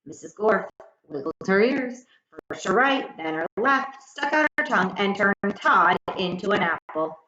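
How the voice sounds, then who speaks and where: Animated woman reading a children's story aloud. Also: medium pitch, a little slow. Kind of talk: expressive scripted children's story narration. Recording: echoey room, slightly muffled sound.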